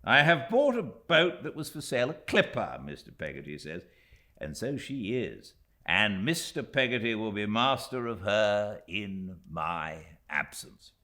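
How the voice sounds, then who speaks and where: A breezy, self-assured young British gentleman from a classic novel, as a male narrator plays him. The voice is bright and forceful, swinging widely in pitch, with an airy, jocular confidence. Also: big pitch swings, a little slow, exaggerated voice. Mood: merry, airy. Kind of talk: dramatised classic audiobook dialogue.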